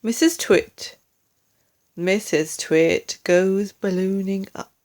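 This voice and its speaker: A woman narrating a children's story in a deliberate, expressive storytelling manner. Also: medium pitch. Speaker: storybook reader, English teacher.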